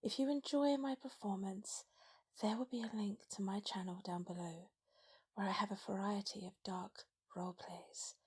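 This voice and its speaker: A woman speaks as a guest performer in an ASMR introduction. Her voice is extremely breathy and whispery, sitting at a medium pitch. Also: fairly even intonation, moderate pace.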